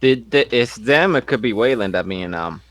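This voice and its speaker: A man's voice: medium pitch, animated, normal speed, a bit bright, no room sound. A man playing a tabletop role-playing game, chatting casually and speaking for his character. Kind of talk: casual chat and in-character play.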